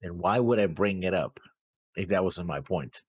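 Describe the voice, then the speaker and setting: A man's voice: low voice, moderate pace, slightly rough, no room sound. A man co-hosting a reaction show, defending himself and hedging as his friend teases him. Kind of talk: casual banter reacting to anime.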